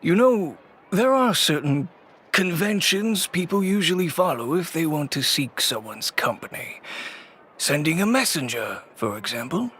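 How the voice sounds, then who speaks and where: A man playing a cautious, middle-aged shop owner in a video game, speaking in a measured, wry way. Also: on the high side, animated, slight background noise. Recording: game audio with soft music. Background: soft game music.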